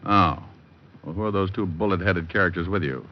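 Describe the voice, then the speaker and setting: An actor playing a cool, wisecracking private detective, narrating with dry wit in hardboiled old-time radio style. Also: male voice, low-pitched, fairly quick, close to an everyday voice. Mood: brisk, wisecracking. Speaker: lead and narrator. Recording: dull old radio recording. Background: music.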